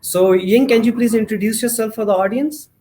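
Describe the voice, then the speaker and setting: Man, podcast host, higher voice, long halting questions, restarts. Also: steady tone, warm tone, a little hiss or hum. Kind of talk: podcast interview.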